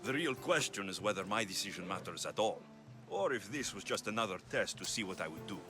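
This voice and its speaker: Brief: terse warrior-for-hire, low voice with lively intonation. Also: male voice, a little fast, over music. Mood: curt. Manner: curt, dry. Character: blunt male mercenary hero.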